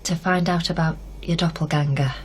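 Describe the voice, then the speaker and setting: A woman's voice: deep voice, steady tone, brisk, a little hiss or hum, close to an everyday voice. An actress playing a determined, reassuring younger woman in a naturalistic radio drama, with a conversational, coaxing manner. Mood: confiding. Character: determined, reassuring younger woman investigating her fiancé's past.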